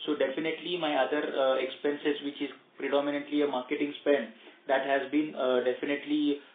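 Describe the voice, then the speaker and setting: A man's voice: on the high side, flat delivery, fairly quick. A male company executive, answering analysts' questions on an earnings call, hesitant and full of fillers. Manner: hesitant, many fillers and restarts. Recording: echoey phone line.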